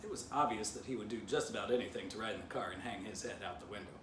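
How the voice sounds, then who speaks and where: A man narrating a children's storybook aloud in an animated way, as an author reading his book. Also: fairly low pitch, very fast. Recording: distant mic, roomy, noisy.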